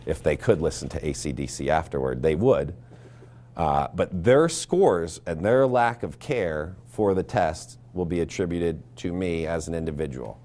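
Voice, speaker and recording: A man's voice: low-pitched, normal speed, dark tone. An American man, a schoolteacher, talking plainly in an interview. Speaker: seventh grade history teacher. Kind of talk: plain-spoken interview talk.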